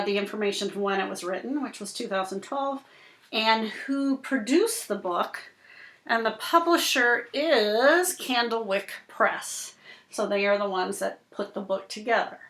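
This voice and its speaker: A woman's voice: mid-range voice, vocal fry, a bit bright. A woman teacher presenting a read-aloud lesson for young children, explaining and addressing them directly with questions. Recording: roomy, echoing indoor sound.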